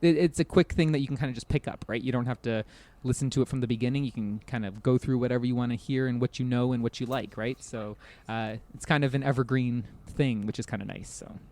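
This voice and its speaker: A man's voice: mid-range voice, fairly even intonation, quick pace, hardly any pauses, dark tone. A man chatting casually as a podcast guest, rambling and self-deprecating, often hedging and chuckling as he talks.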